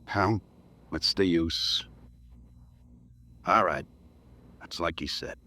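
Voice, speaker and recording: A man's voice: sits low, slow pace, sharp tone, exaggerated voice. An American man playing a shifty, cocky robbery suspect in a 1950s radio crime drama, slangy and defensive. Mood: defeated. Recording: vintage radio broadcast, dry studio.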